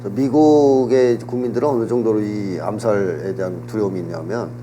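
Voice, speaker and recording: Lowish male commentator, lecturing calmly. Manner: measured, explanatory lecturing. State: getting over a cold. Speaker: political commentator. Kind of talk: political talk show analysis. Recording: audible hum and background noise.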